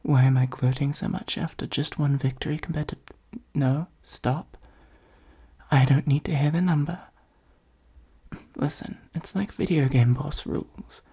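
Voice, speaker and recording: A performer voicing a theatrical supervillain in an ASMR roleplay, with a soft breathy delivery, pompous and sardonic. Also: mid-range voice, fairly even intonation, unhurried. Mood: exasperated, sardonic. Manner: pompous monologuing, sardonic exasperated asides. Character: theatrical gloating supervillain, secretly fond of the hero.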